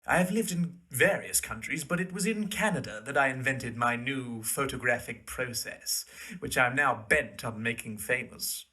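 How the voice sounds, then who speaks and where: A man playing a self-assured, pompous twenty-year-old gentleman in a period audio drama, with a flamboyant manner. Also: medium pitch, moderate pace, lightly acted.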